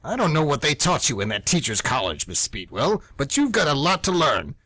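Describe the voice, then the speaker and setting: Radio play: male actor as indignant veteran schoolteacher close to retirement, animated, bright husky voice, emphatic rants. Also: fairly high pitch, a little fast, dry recording, full character voice. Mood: bitter, grumbling. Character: grumpy old-school male high-school teacher nearing retirement. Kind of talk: amateur radio play acting.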